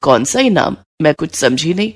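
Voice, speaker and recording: A woman's voice: low-pitched, sing-song, moderate pace, slightly rough, dry recording, clean studio sound, pitched below the performer's own voice, heavily acted. A woman voicing an elderly, plaintive but affectionate mother-in-law in a cartoon story. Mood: puzzled.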